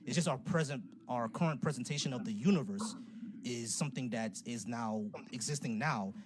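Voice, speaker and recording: A talkative man hosts a casual call-in debate on a live stream, his mid-pitched voice loose and easygoing. He laughs easily between points. A steady hiss of noise sits under the audio. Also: brisk. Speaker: livestream host. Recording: noisy livestream audio.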